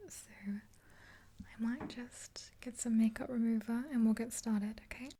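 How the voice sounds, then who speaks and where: A woman's voice: fairly high pitch, warm tone, a little hiss or hum. A woman in her twenties whispering soothingly and hesitantly, close to the mic, in an ASMR roleplay as if chatting with a friend. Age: 20s. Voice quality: breathy whisper. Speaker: ASMR video creator playing a makeup artist friend. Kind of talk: whispered ASMR roleplay, one-sided chat with the listener. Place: small indoor room, dark backdrop. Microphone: close to the mic, facing camera.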